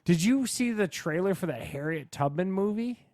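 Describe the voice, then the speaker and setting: Higher-voiced man in casual podcast chat. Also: lively intonation, normal speed, a little mellow, no room sound, clean studio sound. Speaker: podcast co-host.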